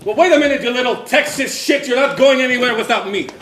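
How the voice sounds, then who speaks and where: A man plays a hot-headed, distrustful prisoner in a stage drama. His voice sits very high for a man and he speaks bluntly. The recording is echoey, with clear noise. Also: quick pace. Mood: furious. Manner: confrontational, blunt, cursing. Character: angry, distrustful male prisoner, hot-tempered family man. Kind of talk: stage play acting.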